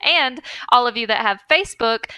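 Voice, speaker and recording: A woman's voice: fairly high pitch, lively intonation, moderate pace, pushed and bright, clean tone, dry recording, clean studio sound. A woman co-hosting a podcast in a casual, chatty style. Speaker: podcast co-host.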